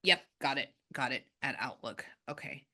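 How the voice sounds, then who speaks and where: A woman's voice: rumbling low, animated, normal speed, pushed and bright. A woman working as a psychic medium, chatting casually and rambling with lots of restarts. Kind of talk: casual reading on a video call.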